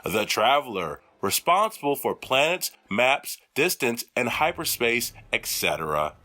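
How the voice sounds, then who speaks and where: A man reads a scripted list of roles very slowly and deliberately, his pitch rising and falling a lot. His voice is bright and forceful, over faint music. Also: very animated. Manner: slow, deliberate list reading. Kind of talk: scripted announcement reading.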